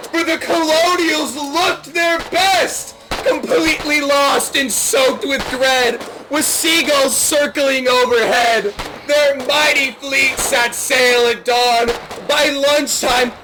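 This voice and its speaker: A man loudly declaiming mock-heroic slam poetry in drawn-out lines, shouting it out during an online game. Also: very high voice, rough recording. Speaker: video game player reciting a mock poem. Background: loud music.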